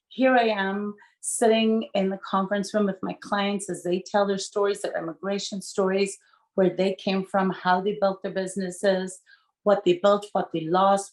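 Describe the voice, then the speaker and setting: A woman, a lawyer telling stories warmly on a video call from home. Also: mid-range voice, steady tone, moderate pace, roomy sound, poor audio. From Northern Ireland. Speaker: estate planning lawyer, guest. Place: home room with shelves. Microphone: video call webcam.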